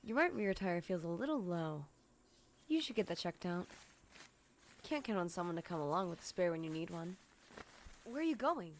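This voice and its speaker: A woman playing stern aunt with swooping pitch. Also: sing-song, normal speed, long pauses, slight background noise. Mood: dry. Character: stern, dry, controlling guardian aunt. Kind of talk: dramatic comic dub acting.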